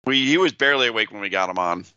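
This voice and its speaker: A loud man co-hosting a podcast, laughing often and joking crudely. His voice swings widely in pitch and has a bright, sharp edge. The sound is dry and a bit dull. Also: expressive, rapid-fire, smooth, no room sound. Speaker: podcast co-host. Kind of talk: casual podcast banter.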